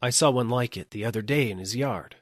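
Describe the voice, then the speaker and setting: A man's voice: medium pitch, brisk, dry recording, close to an everyday voice. Man playing an earnest policeman, close dry sound. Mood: musing. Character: earnest, deferential male policeman, a plodding subordinate. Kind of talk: audiobook character acting.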